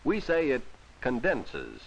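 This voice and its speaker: A man's voice: mid-range voice, huge pitch swings, slow pace, gravelly, poor audio. A man narrating a vintage 1940s educational film for children, explaining things simply and instructively on an old film soundtrack.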